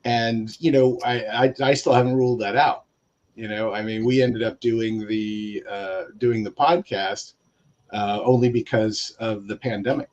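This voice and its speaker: A man's voice: lowish voice, fairly even intonation, unhurried, a little mellow. Dull lo-fi recording of a chatting man. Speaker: guest writer. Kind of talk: casual panel chat.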